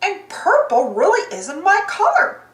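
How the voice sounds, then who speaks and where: A woman voicing a folksy, grateful female cartoon cow with drawn-out, gushing delivery. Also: very high-pitched, huge pitch swings, roomy sound, voice pushed up, exaggerated voice. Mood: glum.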